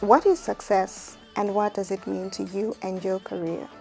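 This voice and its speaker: A woman's voice: mid-range voice, fairly even intonation, normal speed, creaky, warm tone, faint music behind. A woman hosting an interview, introducing her guest and asking friendly questions. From Zambia. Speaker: interviewer, show host.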